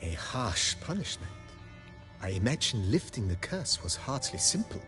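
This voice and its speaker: An actor playing a formal, refined majordomo of a wine estate in a video game, deferential and given to telling tales with flourish. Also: male voice, medium pitch, sing-song, normal speed, a bit bright, soft background music. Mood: grave, polite. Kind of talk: video game character acting.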